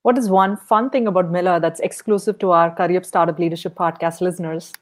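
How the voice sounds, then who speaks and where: A woman's voice: lowish voice, fairly even intonation, brisk. A woman hosting a podcast interview, asking questions in a friendly, encouraging way. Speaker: podcast host.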